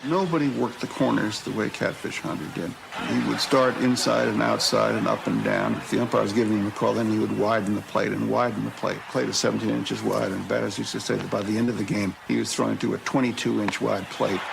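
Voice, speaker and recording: A man with a gravelly voice telling reflective stories about baseball players he remembers. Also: steady tone, a little fast, hardly any pauses. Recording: clearly noisy background rumble.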